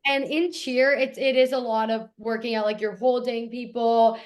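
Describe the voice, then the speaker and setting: A young woman from Toronto, Canada, with a raspy voice, talking eagerly as a guest in a casual interview. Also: on the high side, fairly even intonation, moderate pace. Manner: eager, gushing, repeats how much she loves things. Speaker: guest actor.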